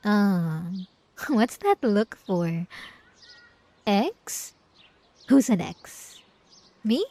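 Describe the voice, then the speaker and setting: A woman's voice: mid-range voice, very animated, warm tone, heavily acted. A woman playing a possessive, gaslighting ex-girlfriend in an ASMR roleplay, coy and mock-innocent with a sweet menace, speaking straight to the listener. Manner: coy, teasing, mock-innocent, drawn-out pet names.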